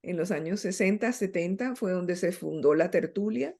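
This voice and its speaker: Middle-aged Colombian woman, writer guest, thoughtful and halting. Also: fairly low pitch, creaky, a little mellow. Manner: reflective, hesitant with many fillers. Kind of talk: interview conversation.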